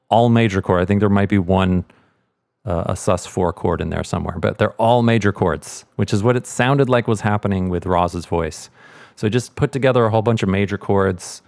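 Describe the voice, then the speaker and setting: A man's voice: low voice, creaky, darkish tone, no room sound. A man explaining sound design in a casual, informal tutorial, thinking aloud as he goes.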